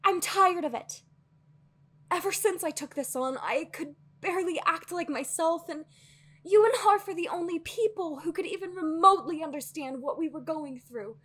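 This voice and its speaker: A woman playing a weary, guarded sorceress in an audio roleplay, earnest and anxious, with a breathy, husky voice and hesitant, sighing phrasing. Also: very high voice, normal speed, a bit bright. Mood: pleading, upset. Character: weary guarded female sorceress, anxious, fiercely logical, secretly lonely. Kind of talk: dramatic audio roleplay acting.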